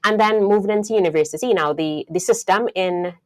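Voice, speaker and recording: A woman in her thirties from Trinidad and Tobago with a Trinidadian accent, a podcast guest telling her career story in a thoughtful, unhurried way with many fillers. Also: medium pitch. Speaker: guest engineer. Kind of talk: podcast interview.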